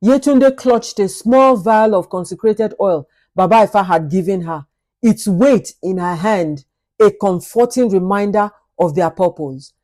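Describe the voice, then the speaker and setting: Female storyteller, mellow tone, expressive and suspenseful, for dramatic folktale narration. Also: mid-range voice, a little slow.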